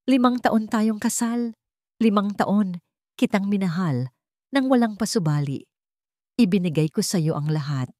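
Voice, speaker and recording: A woman acting a betrayed, bitter husband confronting his wife in an accusing, emphatic, halting way in a narrated drama. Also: mid-range voice, warm tone, dry recording, studio quality, voice pushed up, lightly acted. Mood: bitter, hurt.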